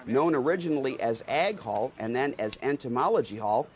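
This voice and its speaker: A man's voice: mid-range voice, lively intonation, a little fast. A man reading scripted news narration as the narrator of an institutional newsreel.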